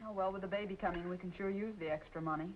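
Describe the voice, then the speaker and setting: A woman's voice: on the low side, fairly even intonation, racing, lightly acted. An actress playing a plainspoken, wry, middle-aged working-class mother in an old-time radio drama, with a homely manner. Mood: wry, chiding. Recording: muffled hissy old radio recording.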